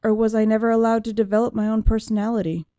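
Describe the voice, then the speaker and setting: A woman voicing an anguished young female android who is searching for herself, in emotive, deliberate first-person audiobook narration. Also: a little high, little pitch movement, warm tone, no room sound, clean studio sound, close to an everyday voice. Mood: sad, reflective.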